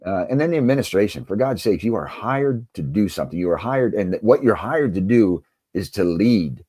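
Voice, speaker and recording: A man's voice: on the low side, animated, brisk, mellow, breathy edge, no echo, studio quality. A middle-aged man answering as an interview guest in an earnest, conversational way, with frequent asides. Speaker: interview guest, basketball coach and author.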